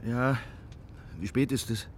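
A man's voice: mid-range voice, steady tone, slow pace, slight background noise, lightly acted. An actor playing a polite, earnest, down-on-his-luck karate master in a naturalistic radio drama. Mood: sheepish. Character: broke, deferential, devout karate master.

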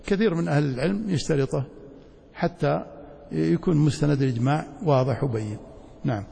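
A Saudi man lectures as a sheikh in a large, reverberant hall. His voice is husky and creaky, and he teaches didactically, putting short checking questions to his students. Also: fairly high pitch, brisk, warm tone. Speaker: Islamic studies teacher, sheikh. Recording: echoey hall with long reverberation.

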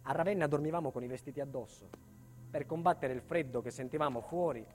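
A man's voice: medium pitch, darkish tone, faint music behind, lightly acted. A male actor playing an embittered protected witness recounting a life in hiding, in a solemn, intense theatre monologue. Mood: somber, haunted. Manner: solemn, intense, deliberate phrasing. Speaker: stage actor and narrator. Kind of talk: dramatic first-person theatre monologue.

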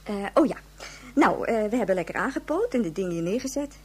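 An actress playing a blunt, chatty station leader in a radio drama, breezy and outspoken, with a husky voice. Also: female voice, mid-range voice, animated, brisk, darkish tone.

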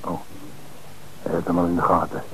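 A low-voiced, quiet detective. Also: male voice, little pitch movement, brisk, rough recording. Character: observant male police detective, quietly chatty. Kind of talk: radio drama acting.

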